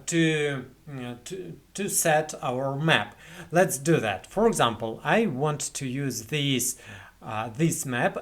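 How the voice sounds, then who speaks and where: A young man with a Russian accent, a non-native English speaker, talking through a software tutorial with lots of fillers and restarts. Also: fairly high pitch. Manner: hesitant, many fillers and restarts. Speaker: tutorial host. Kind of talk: screen-recorded software tutorial. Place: small indoor room at a computer.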